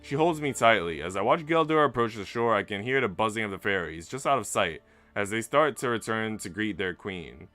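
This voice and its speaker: Bright, clear, low-voiced male streamer. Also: expressive, brisk, soft background music. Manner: informal, slangy when chatting. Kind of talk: reading a visual novel aloud with casual chat.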